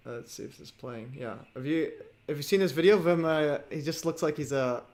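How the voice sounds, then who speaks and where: A man hosting a livestream, chatting casually with many fillers and pauses. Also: on the high side, darkish tone. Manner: filler-heavy, chatty, laughs often. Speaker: host.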